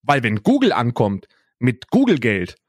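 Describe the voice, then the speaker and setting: Male podcast co-host, young, German accent. Mid-pitched, casual, slangy and emphatic, recorded dry and close. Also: huge pitch swings, a little slow, slightly rough, no echo. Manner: slangy, emphatic, repeats phrases. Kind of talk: casual unscripted podcast banter.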